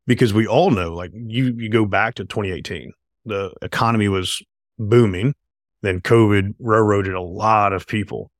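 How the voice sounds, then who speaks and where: An enthusiastic man hosts his podcast, rambling through his points and often checking in with "right?". His voice sits low and sounds dry and close, with no room around it. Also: normal speed, no echo. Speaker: podcast host. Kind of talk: casual podcast interview.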